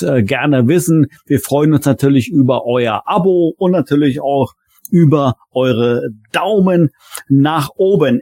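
A man's voice: mid-range voice, warm tone, no room sound, clean studio sound. A man hosting a podcast, casually addressing viewers and listeners in conversational speech. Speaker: podcast host.

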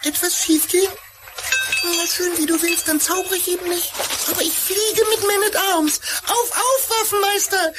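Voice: very high-pitched, fairly even intonation, moderate pace. An actor voicing a small, cheeky, boastful flying wizard in a theatrical children's audio drama style. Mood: defiant. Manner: theatrical, exclaiming. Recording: loud music and crowd noise behind.

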